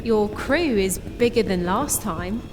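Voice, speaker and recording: A woman voicing a cheerful female soldier, newly made sergeant, speaking in a slow, emphatic way. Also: mid-range voice, expressive, long reverb tail, noisy background. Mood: fond.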